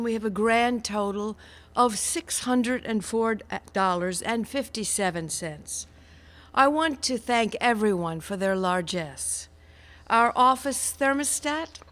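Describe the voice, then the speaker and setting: A woman playing a prim, smug charity fund drive official in a comic radio sketch, formal and deadpan. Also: on the high side.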